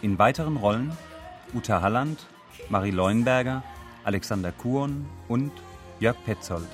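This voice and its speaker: A man reading the closing credits of a radio drama as an announcer, with deliberate, evenly spaced delivery. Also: medium pitch, animated, faint music behind. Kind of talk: scripted broadcast credits announcement.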